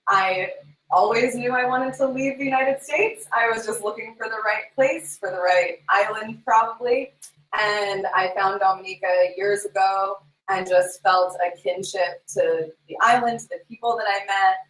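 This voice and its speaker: A woman's voice: mid-range voice, moderate pace, fairly bright tone. Earnest woman, echoey and distant. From New York. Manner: earnest, laughs between phrases. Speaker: guest, scuba instructor. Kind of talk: casual interview talk. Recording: echoey room, distant from mic.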